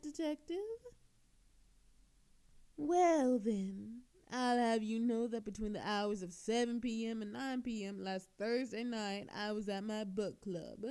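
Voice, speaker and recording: A woman's voice: a little high, mellow. A woman playing an obnoxiously flirtatious, cocky thief in a teasing, theatrical audio roleplay with a sultry drawl.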